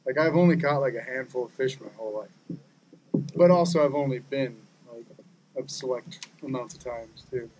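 Man, casual offhand chat, lots of fillers, mid pitch, outdoors on a lake, distant camera. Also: moderate pace, background noise. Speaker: fishing buddy.

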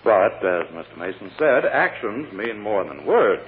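A man's voice: lowish voice, big pitch swings, measured pace. A smug American prosecutor in an old radio drama. His delivery swoops theatrically and drips with sarcasm. The sound is tinny and hollow with long reverb and faint noise. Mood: coaxing. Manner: theatrical, sarcastic, sweeping emphasis.

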